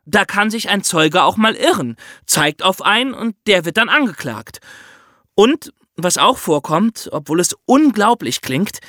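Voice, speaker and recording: A man's voice: sits high, sing-song, a little slow, sharp tone, no room sound, studio quality. A man hosting a podcast, explaining things to his co-hosts in a conversational way with examples. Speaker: podcast host. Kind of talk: conversational true-crime explainer.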